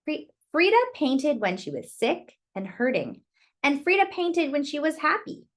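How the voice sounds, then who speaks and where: A woman's voice: high-pitched, big pitch swings, unhurried. A woman reading a picture book aloud to young children, expressively, with chatty asides to her listeners about the pictures.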